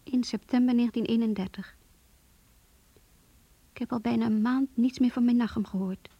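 A lovesick young woman speaks with a breathy, somewhat high voice in a very dry, close sound. She is earnest and intimate, as if confiding a diary in a radio drama. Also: fairly even intonation, moderate pace, dry recording, lo-fi sound. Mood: wistful, longing. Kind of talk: Dutch radio play, diary monologue and dialogue.

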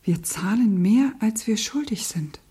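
Actress as dark-voiced, grave woman revolutionary, roomy sound. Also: mid-range voice, expressive, a little slow, close to an everyday voice. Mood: solemn. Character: grave, devoted woman revolutionary, comforting and resolute. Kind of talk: German literary radio play acting. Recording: echoey, roomy radio play sound.

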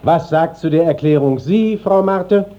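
Casting: man playing stately, commanding court official; unhurried, mellow delivery; classical radio play. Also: on the high side, lightly acted. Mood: stern. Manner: authoritative, measured. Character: dignified, firm visiting court inspector, a gentleman. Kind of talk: classical German stage-style radio acting. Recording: dull 1950s radio drama recording.

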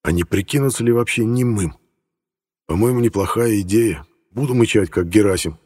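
A man's voice: lowish voice, normal speed, darkish tone, breathy edge, in a large hall. A man reading first-person fiction as a scripted audiobook narration.

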